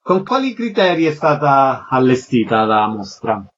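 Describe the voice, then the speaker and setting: A man's voice: medium pitch, sing-song, unhurried, slightly rough, dull audio. A man hosting a radio interview, putting concise questions and introductions to guests.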